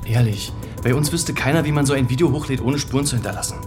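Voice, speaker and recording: An actor playing a defiant, slangy young climate activist in a radio crime drama, talking casually and with challenge. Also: male voice, mid-range voice, fast, natural voice. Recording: music behind the voice.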